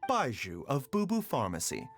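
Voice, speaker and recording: An actor playing a gentle, courteous male pharmacy doctor in a video game, speaking politely and in measured phrases. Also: medium pitch, huge pitch swings, soft background music. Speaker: game character.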